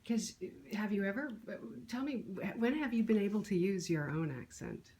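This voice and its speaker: A woman interviewing an actress, asking questions in a wry, halting way. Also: mid-range voice, expressive, mellow.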